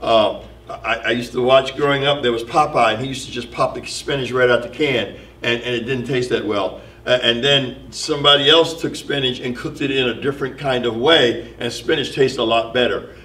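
A man's voice: medium pitch, steady tone, normal speed, fairly bright tone, distant mic. Middle-aged man teaching in an echoey church. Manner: earnest, exhorting. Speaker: Bible study teacher. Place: church sanctuary. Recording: echoey hall, off-mic.

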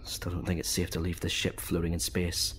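A man's voice: low voice, monotone, fairly quick, fairly bright tone, slight background noise. A man playing a weary, anxious space station officer in an amateur audio drama. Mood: anxious, grim.

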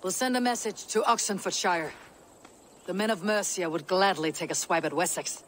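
An actor playing a stern Viking war leader, blunt and commanding, in a video game cutscene. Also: male voice, high-pitched, expressive, normal speed, a bit bright, a little hiss or hum.

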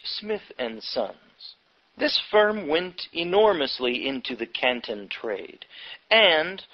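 A man reading a nonfiction history book aloud from the text, with deliberate phrasing broken into short, halting chunks. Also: mid-range voice. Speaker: audiobook reader. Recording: dull, lo-fi audiobook recording.

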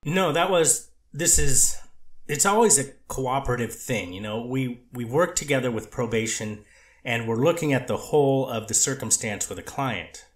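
Guarded male counselor testifying, echoey room. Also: medium pitch. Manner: measured, guarded, speaks in institutional terms. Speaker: treatment counselor testifying as witness. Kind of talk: courtroom testimony. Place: courtroom. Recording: echoey courtroom audio.

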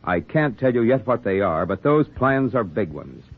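Male 1940s commercial announcer; animated, husky, mid-pitched, muffled phone-like recording. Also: moderate pace. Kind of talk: scripted 1940s radio advertising. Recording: muffled, narrow-band 1940s radio recording.

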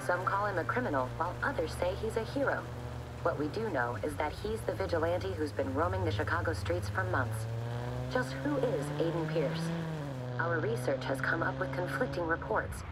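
A serious female news reporter in a video game. Her voice is notably low, measured and formal, with loud car noise over it. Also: lively intonation.

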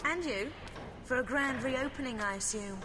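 An actress playing a cool, sardonic young adventuress with an English accent and a dry, clipped delivery. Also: female voice, sits high, moderate pace, background noise, close to an everyday voice. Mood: cool sarcasm.

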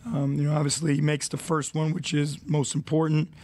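Coach type, man in forties, gravelly, flat-toned, slightly high, measured answers on a desk mic. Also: no room sound. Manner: measured, many fillers, reflective. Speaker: head coach. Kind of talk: postgame press conference. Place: arena press room.

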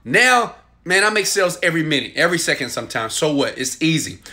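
A man in his thirties talks straight into a phone held at arm's length in a small room at home. His voice is bright and forceful and sits a little high, and he pushes his pitch with preachy, emphatic swings between loud bursts and quiet asides. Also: sing-song, fast talker. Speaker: online marketing coach. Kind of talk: selfie video sales pitch.

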